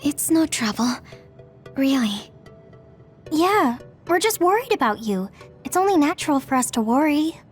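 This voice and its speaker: Soft-hearted young woman teammate: very high voice, anxious, tender, anime game, music behind. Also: big pitch swings, a little slow, pauses often. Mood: reassuring. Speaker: video game voice actor. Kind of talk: anime game cutscene dialogue.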